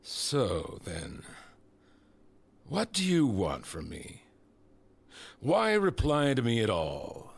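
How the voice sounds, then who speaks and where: A man playing a smug, manipulative disgraced senator in an audio drama, condescending, deliberate and insinuating. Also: mid-range voice, huge pitch swings, creaky, husky, full character voice. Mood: cold, probing.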